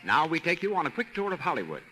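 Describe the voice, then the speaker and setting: A man's voice: on the high side, huge pitch swings, quick pace, over loud music. A man with an American accent reading scripted advertising as a 1930s radio announcer. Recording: faintly noisy 1930s radio recording.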